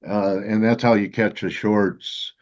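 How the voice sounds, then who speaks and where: Deep male host, slightly muffled sound. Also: steady tone, unhurried, darkish tone. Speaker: host of a trading interview. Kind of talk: casual market discussion.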